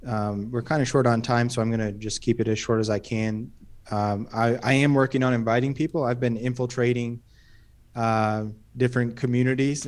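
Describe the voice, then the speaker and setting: A man giving a relaxed, self-deprecating update in an online community meeting. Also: mid-range voice.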